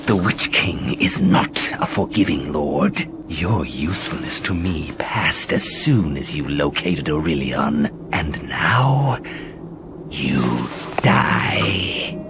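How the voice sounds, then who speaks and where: Haughty dark elf assassin, low voice, slow, formal, cold menace, fantasy game. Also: male voice, expressive, over loud music, full character voice. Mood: cold contempt. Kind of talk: fantasy game cutscene acting.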